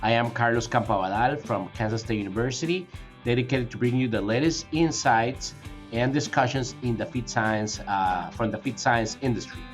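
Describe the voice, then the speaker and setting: A man's voice: medium pitch, moderate pace, faint music behind. A man hosting a podcast interview, speaking hesitantly with many fillers and restarts. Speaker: podcast host.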